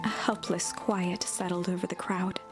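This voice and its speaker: Woman narrating a game story over loud music. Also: fairly low pitch, flat delivery, normal speed.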